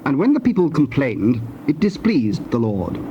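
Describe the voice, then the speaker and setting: A man narrating scripture from a script in a measured, formal manner. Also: medium pitch, wildly swooping pitch. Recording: dull noisy tape transfer.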